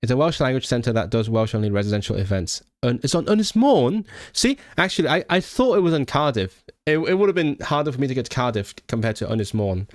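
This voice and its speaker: A young man from Hong Kong with a mixed British English accent hosts a casual livestream about languages. He talks in a middle pitch and answers viewer questions loosely, with frequent fillers and restarted words. The recording is close and dry, with no room sound. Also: expressive, fast talker, few pauses, no room sound. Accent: British English mix. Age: young adult. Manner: hesitant, many restarts and fillers, self-deprecating. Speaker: language channel host; language learner and student. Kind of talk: casual livestream chat reading viewer comments.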